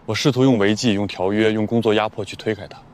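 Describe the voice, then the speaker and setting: Low male voice, mellow, controlled young boss acted by a man, grave, earnest, animated romance drama. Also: fast, close to an everyday voice. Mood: confessional.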